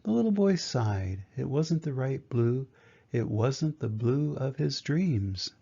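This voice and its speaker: Grandfatherly male storybook reader, older, gentle and measured, dark husky tone, muffled audio. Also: mid-range voice, big pitch swings. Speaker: grandfather reading a picture book aloud. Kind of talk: storybook narration for children. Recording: dull, muffled lo-fi sound.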